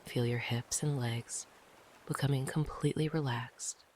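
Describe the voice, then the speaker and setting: A gentle, breathy, often whispering meditation guide speaking slowly and calmly, with long pauses between phrases. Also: medium pitch. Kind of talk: slow, soothing guided meditation narration. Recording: noisy, ambient sound behind the voice.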